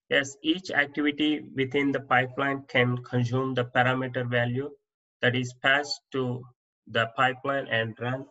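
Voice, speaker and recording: A man teaching as an instructor, reading interview answers off slides in a plain, steady way with small restarts. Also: medium pitch, highs cut off. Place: voice-over on slide presentation.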